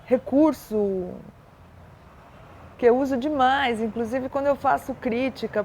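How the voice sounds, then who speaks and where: Older Brazilian woman writer, measured and reflective, fairly high pitch. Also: a little mellow, a little hiss or hum. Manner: thoughtful, measured, unhurried. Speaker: writer guest. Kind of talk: reflective interview answer.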